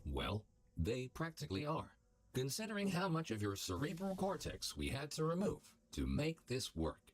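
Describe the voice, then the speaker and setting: Man voicing an animated, sardonic automated facility announcer. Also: medium pitch, normal speed. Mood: callously matter-of-fact. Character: automated male test-facility announcer, smugly sardonic, callously cheerful. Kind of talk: scripted game announcements.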